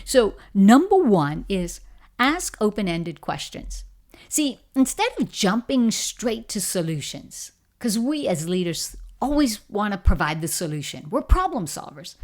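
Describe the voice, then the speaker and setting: A middle-aged woman from the Bahamas speaks with a clear Bahamian accent, coaching her listeners with emphatic, encouraging storytelling. Her pitch swings widely, rising and falling with each point, though her voice sits at an ordinary middle pitch for a woman. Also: big pitch swings, unhurried, a little mellow. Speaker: leadership coach. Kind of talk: motivational talk to camera.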